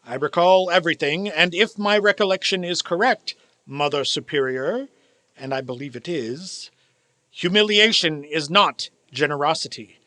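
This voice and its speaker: A bitter old miser of a businessman, played by a man in a radio drama. The voice sits high for a man and has a hard, bright edge. He speaks formally and pompously, with cold scorn. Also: animated, normal speed. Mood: bitter, scornful. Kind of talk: stage radio drama acting.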